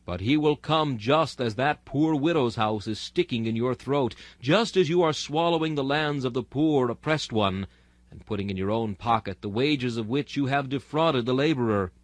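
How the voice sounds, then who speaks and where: A man with a mid-pitched voice delivers an archaic sermon as a dramatic reading. His manner is solemn and exhortatory, leaning on rhetorical delivery. The sound is dry, with almost no room around the voice. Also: dry recording. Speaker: sermon reader.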